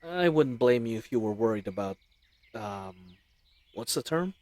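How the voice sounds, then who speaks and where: A roguish, friendly bandit leader, acted by a man in a fantasy audio roleplay. His mid-pitched voice swings freely up and down as he chats casually and teases the listener with easy confidence. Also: animated, moderate pace, a little mellow, dry recording, natural voice. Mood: teasing, easygoing. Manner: casual, chatty, playfully teasing.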